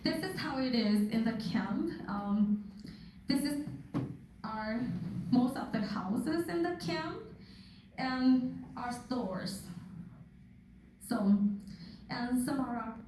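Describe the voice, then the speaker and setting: A young woman from Thailand giving a personal testimony with slides, speaking slowly and earnestly with soft laughs, recorded from far off in a church hall. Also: fairly high pitch, animated. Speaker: guest speaker. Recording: echoey hall from far off, faint noise.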